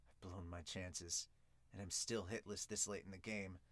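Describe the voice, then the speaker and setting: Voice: deep voice, fairly even intonation, moderate pace, pitched below the performer's own voice, lightly acted. A podcaster voicing a regretful young male baseball player who speaks in a subdued, self-reproachful way. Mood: brooding regret.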